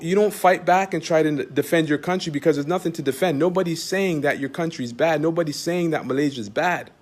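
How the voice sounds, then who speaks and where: A man with a Canadian accent, an Islamic teacher talking straight to his followers in an emphatic, repetitive way, full of rhetorical questions. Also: a little high. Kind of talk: direct-address monologue to followers.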